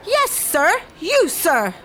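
An actress playing a stern shop security worker in an audio drama, formal and insistent. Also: female voice, very high voice, huge pitch swings, slow pace, sharp tone, some chatter behind, a little hiss or hum, full character voice. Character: stern, officious woman working as shop security.

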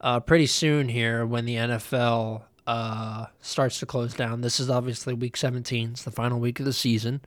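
Young male host, nasal head cold, rambling, middle-pitched, dry close recording. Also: normal speed, few pauses, no room sound. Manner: rambling, relaxed, with many ums and uhs. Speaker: podcast host. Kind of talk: casual solo podcast chat.

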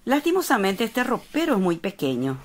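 An actress playing an anxious wife in a radio drama, speaking expressively with a Paraguayan accent. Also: female voice, mid-range voice, wildly swooping pitch, normal speed, slight background noise. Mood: fussy, chatty. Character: anxious wife settling into a haunted old house. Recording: music behind.

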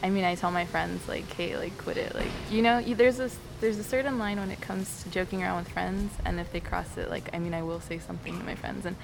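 A woman's voice: fairly low pitch, steady tone, fast, creaky, few pauses, noisy background. An eighteen-year-old woman, a student, speaking earnestly and thoughtfully outdoors on campus. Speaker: student interviewee.

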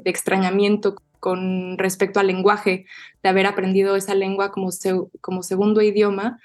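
A young adult woman poet being interviewed, speaking in a calm, reflective voice. She chooses her words slowly and restarts phrases as she thinks them through. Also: medium pitch. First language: Spanish. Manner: thoughtful, measured, frequent small restarts.

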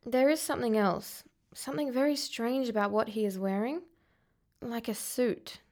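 High-voiced, persistent Australian school counsellor woman actor. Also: moderate pace, darkish tone, close to an everyday voice. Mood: concerned. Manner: polite, careful, insistent. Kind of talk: radio drama acting.

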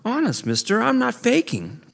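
A man's voice: a little high, big pitch swings, a little slow, warm tone, slightly rough, voice pushed up, full character voice. A man voicing a frightened young male beaver who stammers and pleads. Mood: scared. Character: scared young male beaver, eager to please.

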